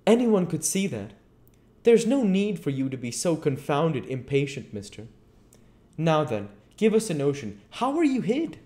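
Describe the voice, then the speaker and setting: A high-pitched, wildly swooping voice for an indignant, baffled tramp in a storybook, acted out by a man. He exclaims in disbelief and talks in rough, colloquial phrases. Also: very animated, a little slow, dark tone. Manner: exclaiming, incredulous, colloquial speech. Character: bewildered, indignant working-class tramp, adult man.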